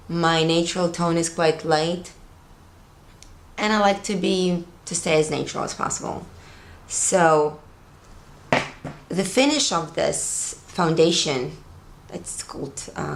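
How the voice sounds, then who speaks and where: A woman's voice: on the low side, reverberant, a little hiss or hum. A woman in her thirties from Latvia, chatting casually through a makeup tutorial on her sofa, rambling with fillers. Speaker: beauty vlogger. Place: living room, on a sofa, indoors.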